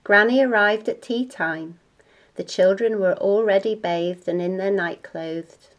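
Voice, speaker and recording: A woman reading a children's bedtime storybook aloud in a deliberate, expressive way. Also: mid-range voice, lo-fi sound.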